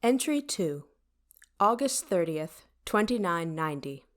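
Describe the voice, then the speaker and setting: A woman's voice: lowish voice, very animated, measured pace. A woman playing a wry, motherly starship AI in an audio drama, speaking with precise, formal diction over music. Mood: measured, explanatory. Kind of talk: scripted audio drama narration. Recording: music behind.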